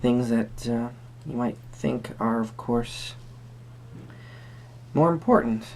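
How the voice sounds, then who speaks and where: A performer playing a quirky, friendly tarot reader at a Renaissance fair, speaking to the viewer in halting, theatrical phrases. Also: mid-range voice, creaky, darkish tone, slight background noise. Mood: whimsical, musing. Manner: hesitant, theatrical, halting phrasing with sudden emphasis. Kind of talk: improvised first-person roleplay addressed to the viewer.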